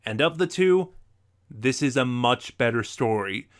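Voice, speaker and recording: Male video reviewer, mid-pitched, talking viewers through semi-scripted commentary. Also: animated, a little slow.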